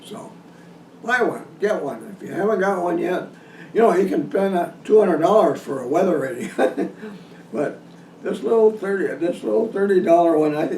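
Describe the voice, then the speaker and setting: A man giving an informal instructional hunting talk in a halting, folksy way, repeating and restarting his phrases. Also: high voice, expressive, creaky, a little mellow. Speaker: deer hunting instructor hosting a video seminar. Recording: roomy echo, distant mic, some noise.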